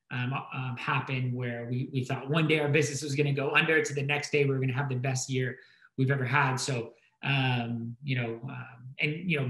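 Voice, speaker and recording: A man's voice: mid-range voice, a bit bright. A man in his thirties answering conversationally as a business panelist, rambling and hesitant, over a video call. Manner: hesitant, filler-heavy, rambling. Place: indoor room at home or office, on a video call. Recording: roomy, echoey video call.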